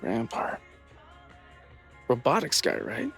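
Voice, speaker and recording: A man's voice: mid-range voice, huge pitch swings, slow, vocal fry, a bit bright, husky, faint music behind, heavily acted. An actor playing a stubborn, earnest young man in a video game, speaking casually and matter-of-factly. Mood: casual, determined. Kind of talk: video game dramatic acting.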